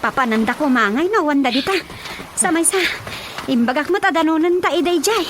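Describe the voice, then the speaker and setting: Very high female voice, lively daughter, Ilocano accent, radio drama comedy, faint background noise. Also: brisk. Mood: chatty. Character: dutiful daughter Rosa, lively and chatty.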